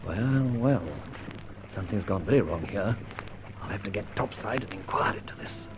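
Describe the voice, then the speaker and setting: Man acting dashing gentleman adventurer, lively swooping intonation, jaunty, clipped, noisy vintage radio recording. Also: lowish voice, normal speed, natural voice. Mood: puzzled, muttering. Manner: jaunty, clipped, theatrical exclamations. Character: dashing gentleman adventurer, self-assured, breezy, playful. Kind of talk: old-time radio detective serial acting and narration.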